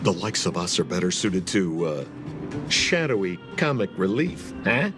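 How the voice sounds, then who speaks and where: A man's voice: sits low, sing-song, normal speed. A man playing a sly, playful young rogue in a video game, with a theatrical, teasing delivery over music. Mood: playful, smug. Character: sly, playful young male rogue, self-styled shadowy comic relief. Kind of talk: video game character acting. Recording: music behind the voice.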